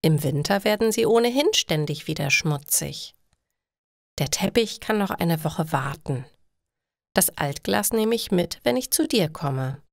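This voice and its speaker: A woman's voice: lowish voice, creaky, no echo, clean studio sound. A woman voicing a chatty woman who frets over her household chores in a story.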